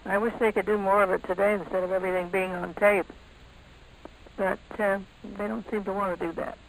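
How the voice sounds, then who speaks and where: An older woman reminiscing in a relaxed interview as a guest. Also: mid-range voice, brisk, a little hiss or hum, rough recording. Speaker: interview guest, former radio performer.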